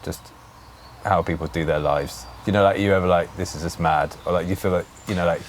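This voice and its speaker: A low-voiced man interviews someone in a casual, searching way. He talks quickly but haltingly, repeating phrases as he forms questions. There is faint outdoor noise around him. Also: fairly even intonation. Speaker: podcast host interviewing a stranger. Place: outdoors on a park bench.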